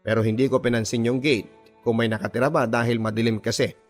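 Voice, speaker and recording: A man narrates a confessional radio drama in the first person. His delivery is dramatized storytelling at a medium pitch, with music playing underneath. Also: fairly even intonation, fast talker.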